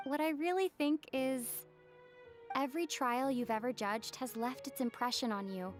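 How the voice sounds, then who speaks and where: An actress voicing an earnest, thoughtful young traveler heroine in a video game, speaking sincerely. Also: female voice, high voice, normal speed, faint music behind, close to an everyday voice.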